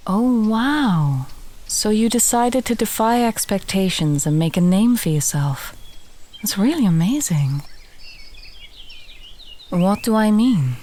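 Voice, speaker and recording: A woman acts as a kindly village alchemist in a fantasy audio drama, earthy and gently teasing. Her voice sits a little low and she takes her time over every phrase. Faint outdoor ambience and noise run behind her. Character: friendly female village alchemist, warm, teasing, earthy, encouraging. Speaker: voice actor in a fantasy audio roleplay. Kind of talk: fantasy audio roleplay addressed to the listener.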